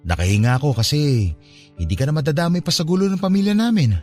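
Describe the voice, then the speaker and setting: Earnest young ex-boyfriend over soft music, acted by a man. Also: fairly high pitch, animated, quick pace, natural voice. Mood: earnest, regretful. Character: earnest young ex-boyfriend, wounded but hopeful. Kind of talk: Filipino radio drama dialogue.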